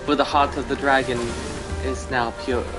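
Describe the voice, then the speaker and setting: A man's voice: medium pitch, flat delivery, moderate pace. A man chatting casually as he commentates his gameplay on a livestream. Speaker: game streamer. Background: faint game music.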